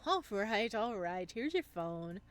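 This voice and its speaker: A woman's voice: medium pitch, big pitch swings, slow. Teasing, bossy big-sister type, read by a woman. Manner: playful, bossy. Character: teasing, motherly young woman, a protective sister. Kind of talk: visual novel character read-aloud.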